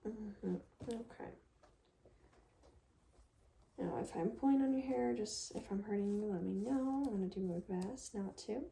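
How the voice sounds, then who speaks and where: A woman doing a soft-spoken ASMR hair stylist roleplay, talking gently and casually as if reassuring a client in the chair. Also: mid-range voice, unhurried, creaky, long pauses, a little hiss or hum, low-quality recording. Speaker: ASMR creator role-playing a hair stylist.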